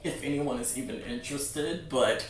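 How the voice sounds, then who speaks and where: A man's voice: mid-range voice, moderate pace, a little hiss or hum. A man hosting a livestream, chatting casually to viewers in an informal, encouraging way. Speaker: livestream host. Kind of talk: casual chat to a livestream audience. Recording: very echoey room, distant mic.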